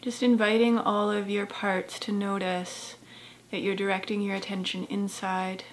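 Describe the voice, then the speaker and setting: A woman therapist leading a guided meditation in a gentle, soft-spoken, unhurried way with long pauses. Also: medium pitch, little pitch movement, slight background noise.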